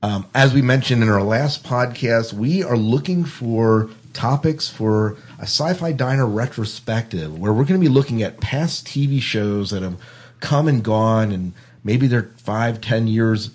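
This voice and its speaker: A man's voice: mid-range voice, measured pace, a little mellow. A man hosting a podcast in a casual, informal, chatty way. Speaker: podcast host.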